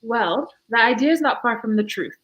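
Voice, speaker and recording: Young woman from Fiji, podcast guest, lively storyteller with lots of laughs. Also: on the high side, normal speed, muffled recording. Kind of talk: casual interview chat.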